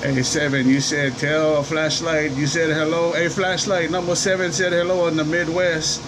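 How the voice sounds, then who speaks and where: A man with a slightly high voice works a CB radio. He repeats call signs and slangy sign-offs as he chats. Loud vehicle and air-conditioning noise runs behind him throughout. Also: little pitch movement, normal speed. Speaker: CB radio operator. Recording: very noisy, engine and air-conditioning hum.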